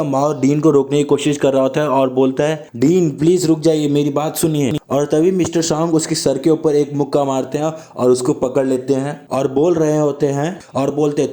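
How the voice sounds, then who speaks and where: A man's voice: on the high side, mellow. A young man with a sore throat, casually recapping an anime and reading the characters' lines in his plain voice. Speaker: anime explainer narrator.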